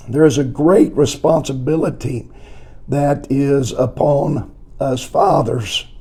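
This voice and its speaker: A man with a hoarse, scratchy, weak voice, teaching deliberately as a pastor on a podcast and repeating phrases for emphasis. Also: fairly high pitch, dark tone. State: hoarse, strained from preaching. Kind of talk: slow teaching talk on family life.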